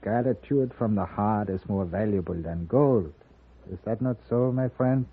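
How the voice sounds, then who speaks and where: An actor playing a kindly, earnest elderly German gunsmith in a 1950s Western radio drama, speaking with a German accent. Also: male voice, on the low side, unhurried, husky. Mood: earnest, grateful. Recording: muffled phone-like old radio recording.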